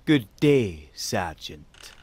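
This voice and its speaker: An actor playing a polite, smugly mocking manservant to a lord in an audio drama, formal and unhurried. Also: male voice, fairly low pitch, sing-song, darkish tone, slight background noise, full character voice.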